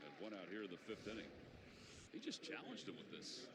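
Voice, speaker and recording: Man, American accent, lowish voice, baseball play-by-play, noisy outdoor stadium. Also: huge pitch swings, brisk. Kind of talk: live baseball commentary and in-game interview. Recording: outdoor ballpark noise. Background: ballpark ambience.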